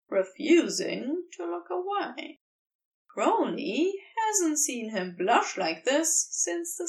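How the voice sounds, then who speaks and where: A woman reading a romantic story as scripted narration, tender and unhurried in her storytelling, a little away from the mic. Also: high-pitched, far from the mic. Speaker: amateur fan fiction narrator.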